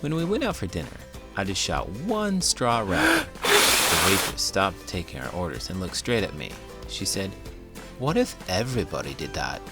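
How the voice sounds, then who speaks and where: A man's voice: low voice, huge pitch swings, moderate pace, over loud music. A man reading a children's book aloud as host, theatrical and full of big, silly emotion.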